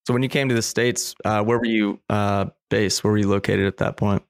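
Guy hosting a podcast, low-ish smooth voice, dry close mic, lots of ums. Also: fairly even intonation, fairly quick, no room sound. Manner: hesitant, full of fillers. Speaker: podcast host. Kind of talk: conversational interview.

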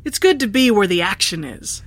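A woman's voice: mid-range voice, very animated, normal speed, no echo. A woman playing a chipper, easygoing, wisecracking character in an audio drama, talking casually. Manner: casual, joking. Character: chipper, wisecracking, easygoing female new arrival.

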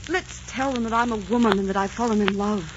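A woman's voice: medium pitch, brisk, lightly acted. Female actor as lovesick young queen, fervent, desperate, vintage radio romance, muffled noisy sound. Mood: pleading. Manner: melodramatic, imploring, fervent. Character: passionate, desperate young Queen of Scots in love. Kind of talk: 1940s radio romance acting. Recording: dull, noisy 1940s radio recording.

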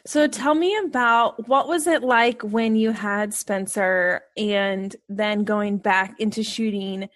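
A woman's voice: medium pitch, slow pace, hardly any pauses, dry recording. A woman hosting a podcast, chatting and asking follow-up questions in an encouraging way. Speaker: podcast host. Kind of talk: casual interview chat.

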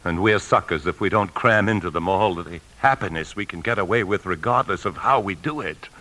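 An actor playing a tense, desperate embezzling husband in a 1950s radio drama, speaking with urgent, confessional intensity. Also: male voice, low-pitched, a little fast, sharp tone, poor audio. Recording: old radio broadcast, faint music.

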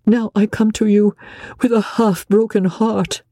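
A man voicing a distraught young noblewoman who pleads tearfully, halting and repeating herself. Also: high-pitched, flat delivery, dark tone, dry recording, pitched above the performer's own voice. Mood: pleading.

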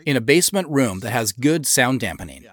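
A man's voice: medium pitch, big pitch swings, brisk. A man hosting a scripted behind-the-scenes commentary on an audio drama as its creator.

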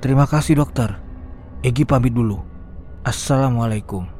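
A man's voice: medium pitch, normal speed, loud music behind the voice, lightly acted. A man playing an eager young grandson. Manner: eager, questioning.

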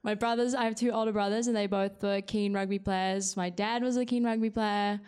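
A woman's voice: medium pitch, steady tone, fairly quick, darkish tone, clear, resonant tone. A woman from New Zealand with a New Zealand accent, chatting casually as an interview guest. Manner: chatty, with frequent restarts and light laughs.

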